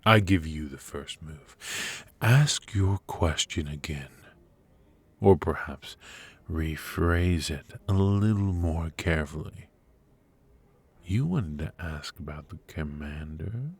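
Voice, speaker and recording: Very deep, husky dark warlord played by a man. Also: full character voice. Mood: sinister, goading. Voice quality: husky, breathy. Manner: slow, deliberate, silky formal taunting with dramatic pauses. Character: dark warlord sorcerer villain, commanding, mocking, coolly menacing. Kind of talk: ASMR fantasy villain roleplay.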